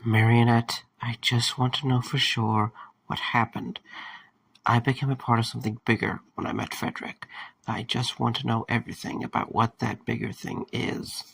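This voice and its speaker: A man playing a stubborn, wary but caring young man in a fan audio drama, with a husky, gravelly voice. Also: medium pitch, flat delivery, normal speed, a bit bright, a little hiss or hum, low-quality recording. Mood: defiant.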